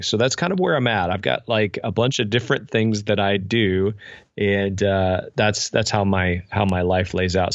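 A man's voice: fairly low pitch, creaky, no echo, highs cut off. A man in his forties talking casually and reflectively as an interview guest, self-deprecating and often restarting his sentences. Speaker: podcast guest, pastor and author.

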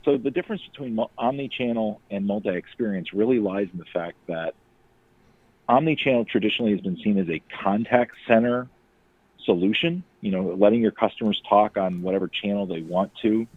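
A man's voice: on the low side, fairly quick, no room sound. A man leaving an explanatory voicemail over the telephone, setting out his points in an orderly way. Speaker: technology evangelist, podcast guest. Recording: dull phone-line voicemail, dry.

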